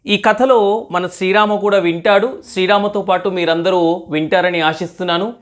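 A woman's voice: on the low side, steady tone, normal speed. A woman telling a moral story as host, teacherly, asking questions and repeating answers as if to a young child.

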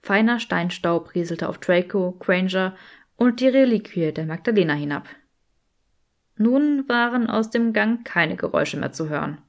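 Woman narrating an audiobook, medium pitch. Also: lively intonation, moderate pace. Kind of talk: scripted fiction narration.

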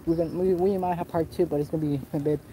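A man's voice: a little high, fairly even intonation, rapid-fire, warm tone, slight background noise, rough recording. A man vlogging as he walks outdoors along a resort path, rambling and swearing and restarting his sentences, recorded on a handheld phone. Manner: rambling, profane, restarts often. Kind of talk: casual walking vlog. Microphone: phone camera held while walking.

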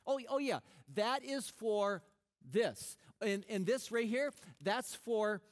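A middle-aged man preaching a sermon on a church stage, animated and conversational, often restarting his sentences. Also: high-pitched, slow. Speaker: pastor.

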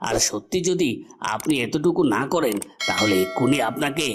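A man's voice: medium pitch, expressive, normal speed, music in the background, lightly acted. A man voicing a cornered, treacherous villain in a cartoon, melodramatic, swinging between menace and pleading. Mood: desperate, threatening. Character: cornered treacherous male villain, threatening then begging for life.

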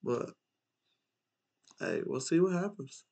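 A man's voice: a little high, sing-song, takes it slowly, gravelly, darkish tone, husky. A young man doing casual, slangy gaming commentary and reading game dialogue aloud. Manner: informal, slangy. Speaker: gaming streamer. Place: indoor room at home, streaming on webcam. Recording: game music behind the voice. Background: video game music.

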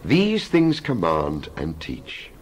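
A man with a low voice reads scripture as a dramatic performance. His delivery is solemn and declamatory, laid out in measured phrases, with the pitch rising and falling widely as he goes. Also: wildly swooping pitch, breathy edge. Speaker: Bible reader.

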